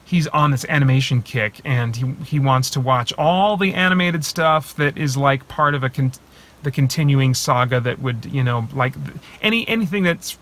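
A man in his thirties talking casually to a phone camera from inside his parked car, thinking aloud with many pauses and restarts. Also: fairly high pitch, fairly bright tone. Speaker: movie reviewer and channel host. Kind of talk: casual spoiler talk to camera. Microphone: phone camera at arm's length.

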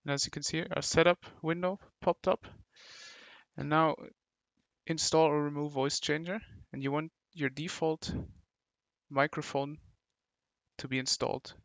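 Guy doing a how-to voiceover, bit of vocal fry, short choppy instructions, close mic. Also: a little high, fairly even intonation, moderate pace, a bit bright, no echo. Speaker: software tutorial presenter. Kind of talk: step-by-step tutorial narration. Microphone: close mic, screen-recording voice-over.

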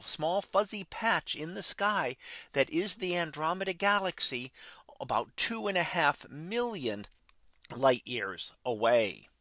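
A man's voice: medium pitch, sharp tone, no echo. A man hosting a podcast, patiently explaining the sky in an image with a few fillers and restarts, voice-over on a close mic. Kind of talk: unscripted explanatory commentary.

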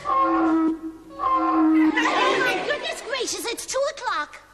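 A fluttery, obliging madam, extremely high and swooping in pitch. Her delivery is exaggerated and exclamatory, in the manner of broad stage comedy. An audience and background noise are clearly heard. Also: lively intonation, takes it slowly, over a noisy crowd, full character voice. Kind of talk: broad comedy sketch acting before an audience.

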